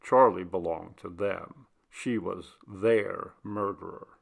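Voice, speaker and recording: Gravelly male story reader, measured pace. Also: low-pitched, fairly even intonation. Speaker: audiobook reader. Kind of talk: literary short story narration with acted dialogue.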